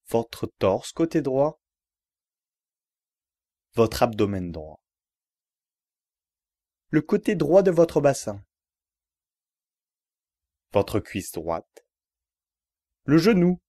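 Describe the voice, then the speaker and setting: Guy doing guided yoga relaxation, really slow, mid pitch, repeats instructions step by step, dry sound. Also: a little mellow, no echo. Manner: measured, repetitive step-by-step instructions.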